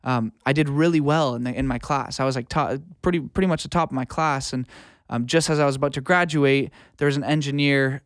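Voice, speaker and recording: Man, smooth clear voice, dry close sound, informal and earnest, casual interview guest. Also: medium pitch, fairly even intonation, fairly quick, no echo. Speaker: guest, music producer and audio engineer. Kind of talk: casual interview, giving career advice.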